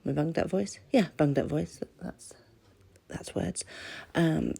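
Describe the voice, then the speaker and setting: A woman's voice: low voice, big pitch swings, takes it slowly, creaky, mellow, slightly rough. A British woman with a stuffy-nosed voice from a cold, chatting casually and self-deprecatingly in a craft voice-over. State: has a cold. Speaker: craft channel host.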